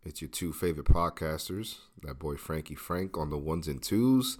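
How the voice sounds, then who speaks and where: A man's voice: very deep voice, normal speed, a little mellow. A man co-hosting a podcast, chatting loosely and playfully with plenty of swearing. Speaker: podcast co-host. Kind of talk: casual podcast chat.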